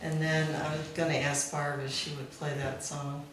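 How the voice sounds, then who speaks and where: A woman's voice: deep voice, flat delivery, moderate pace. A woman speaking at a memorial gathering, telling an informal anecdote in an amused way. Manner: amused, often laughing as she talks. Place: back room at a live gathering. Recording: very echoey room, faint noise.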